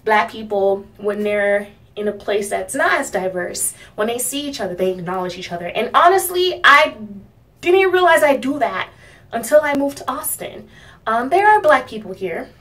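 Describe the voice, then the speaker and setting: A young woman from Miami talking casually to her channel's viewers, rambling with fillers and soft laughs. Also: a little high, expressive, normal speed, far from the mic. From Miami, United States. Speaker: vlogger. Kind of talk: casual talk to camera.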